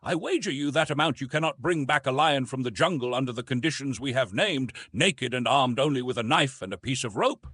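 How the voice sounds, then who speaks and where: A bantering, good-natured French gentleman hunter played by a male audiobook reader, teasing in formal phrases with a sly touch of sarcasm. The pitch rides up and swings freely, and the voice is slightly husky in a dry, close recording. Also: animated, fairly quick, hardly any pauses, no echo. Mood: eager wagering. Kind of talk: audiobook character dialogue.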